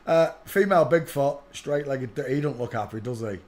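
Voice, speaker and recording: A man's voice: mid-range voice, moderate pace, darkish tone. A man hosting a livestream, rambling to himself as he comments on photos. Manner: mutters to himself while working, trails off into asides. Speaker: livestream host.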